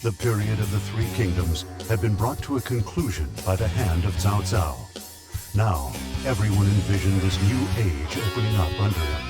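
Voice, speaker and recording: Guy doing deep solemn narration for a game, heavy music under. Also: normal speed, lightly acted. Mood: grave. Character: solemn male story narrator. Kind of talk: scripted narration. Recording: loud game music behind.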